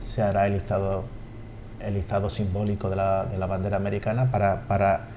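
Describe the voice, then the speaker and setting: A man, a language school director interviewed on TV, speaking haltingly with repeated words and fillers. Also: sits low, monotone, mellow, a little hiss or hum. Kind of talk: TV studio interview.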